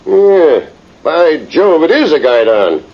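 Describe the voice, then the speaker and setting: A man's voice: a little high, very animated, slow, heavily acted. A man playing a jolly, commanding, eager cavalry general in a vintage radio drama. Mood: curious, intent. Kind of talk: 1950s radio drama acting. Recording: muffled vintage radio recording.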